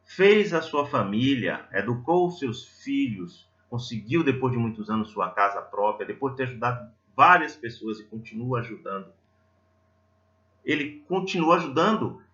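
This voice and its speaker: A man's voice: mid-range voice, bright, forceful tone, dull audio. A Brazilian man giving a religious talk in a conversational, didactic way, telling stories and putting reflective questions to his listeners. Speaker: lay preacher at a Spiritist centre.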